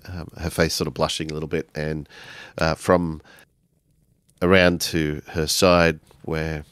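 Low, smooth-voiced man narrating slowly. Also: flat delivery, a bit bright, no room sound. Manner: halting, deliberate. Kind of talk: improvised narration of a tabletop game.

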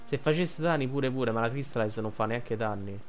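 A man's voice: mid-range voice, normal speed, slight background noise. A man giving casual, informal playthrough commentary as a gaming streamer, thinking aloud.

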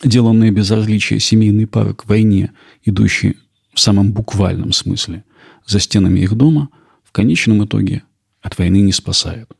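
A man's voice: fairly low pitch, normal speed, vocal fry, slightly rough. A man narrating a scripted podcast as its host.

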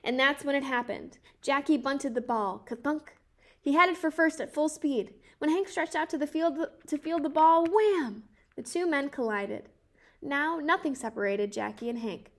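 A woman in her twenties reading a children's picture book aloud in a careful, measured way. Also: high-pitched. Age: 20s. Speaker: storybook reader.

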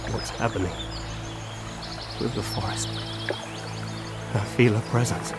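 An actor playing a dying elf scout in a fantasy video game, weak, halting and solemn. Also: male voice, fairly low pitch, steady tone, over loud music, natural voice. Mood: haunted, solemn. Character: dying male elf scout, weary and visionary.

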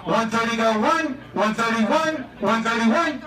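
An American man calls an auction in a high-pitched, rhythmic chant, repeating bids and prodding bidders. He is outdoors and heard from a distance, with echo on his voice and a crowd around him. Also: measured pace, pushed and bright. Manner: repetitive, rhythmic bid calling, pushing bidders. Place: outdoors on a marina dock. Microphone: picked up by a distant camera.

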